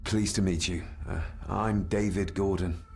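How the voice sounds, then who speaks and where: Creaky-voiced, guarded gentleman heir. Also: sits low, steady tone, a little slow, faint music behind. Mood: polite. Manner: polite, inquisitive, guarded. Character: reserved, questioning young gentleman heir of 1920s. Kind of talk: video game voice acting.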